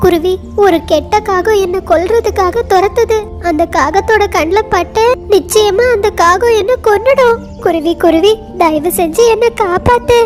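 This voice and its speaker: A woman's voice: extremely high pitch, normal speed, faint music behind. A woman doing a panicky begging crow. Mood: panicked. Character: sly crow pretending to be scared.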